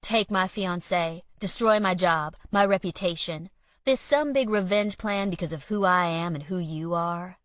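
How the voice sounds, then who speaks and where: A woman voicing a smug, spiteful man who needles his rival in loud, quick taunts. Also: on the low side, no room sound, lightly acted. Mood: sneering. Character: sneering jealous male councilman, smug and spiteful. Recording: dull lo-fi dry read.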